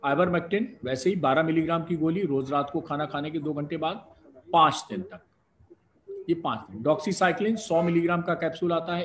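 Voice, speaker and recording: A middle-aged male doctor from India speaks as a guest in a live discussion, laying out medical advice. His voice sits fairly high for a man, and he argues his points with emphatic, rhetorical exclamations. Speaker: doctor and professor of respiratory medicine.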